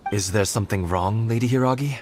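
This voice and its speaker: A man's voice: fairly low pitch, quick pace, a little hiss or hum, natural voice. A man playing a dutiful male guard in a video game who speaks with deferential concern.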